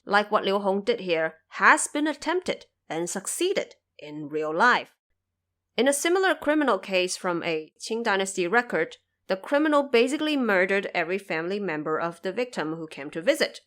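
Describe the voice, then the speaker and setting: Woman podcast host with lively, swooping intonation. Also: mid-range voice. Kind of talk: scripted explanatory narration.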